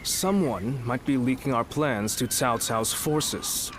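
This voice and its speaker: A man playing a shrewd, commanding strategist of ancient China in a game cutscene, speaking formally and deliberately. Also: medium pitch, background noise, natural voice. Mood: grave, troubled. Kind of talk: video game cutscene acting.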